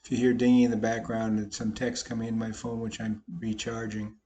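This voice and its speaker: Folksy, slightly hoarse man narrating poker hands. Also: fairly low pitch, monotone, brisk, dark tone, a little hiss or hum. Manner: folksy, self-deprecating, talks through each hand. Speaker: poker vlogger. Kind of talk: casual commentary over online poker play.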